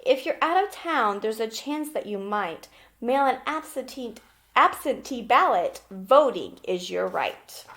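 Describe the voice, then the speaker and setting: A woman with a slightly high voice speaks as an elementary school teacher. She reads aloud for young children and explains in simple, instructional terms, with frequent little check-ins. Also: expressive, measured pace, a bit bright. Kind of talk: children's read-aloud lesson with questions.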